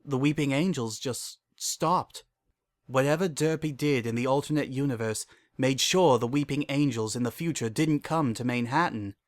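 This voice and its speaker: A narrator voicing a sorrowful, world-weary pony father in a dramatic story, halting and hollow in delivery. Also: mid-range voice, no room sound, studio quality, lightly acted. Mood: grave, regretful. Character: guilt-ridden, weary old time-traveling stallion father.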